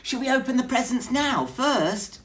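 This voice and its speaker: A woman voicing a small, eager, cheeky male mouse with squeaky exclamations in a children's storybook reading. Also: expressive, a little slow. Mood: eager, astonished. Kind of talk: children's storybook read-aloud.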